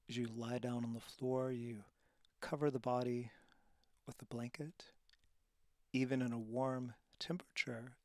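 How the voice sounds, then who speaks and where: Male meditation guide, mid-pitched, unhurried and calm, hushed breathy delivery with long pauses, dry intimate sound. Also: dry recording. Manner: calm, soothing instruction. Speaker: yoga meditation teacher.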